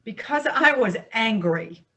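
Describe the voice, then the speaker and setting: A woman's voice: on the high side, lively intonation, slow, fairly bright tone. A woman acting in a staged play reading as a defensive, embittered divorcee with an evasive, formal way of talking. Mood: bitter.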